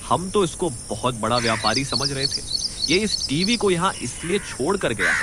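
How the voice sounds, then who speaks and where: Man playing an animated, greedy cartoon villager. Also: medium pitch, normal speed, heavy background noise, lightly acted. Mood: scornful surprise. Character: Ballu, gullible greedy village husband and labourer. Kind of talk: Hindi cartoon moral story dialogue.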